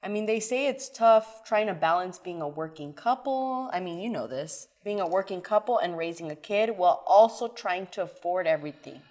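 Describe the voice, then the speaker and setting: A woman's voice: lowish voice, animated, normal speed, few pauses, darkish tone. A woman co-hosting a casual talk show, chatting and reading talking points. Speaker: co-host. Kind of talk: casual podcast chat.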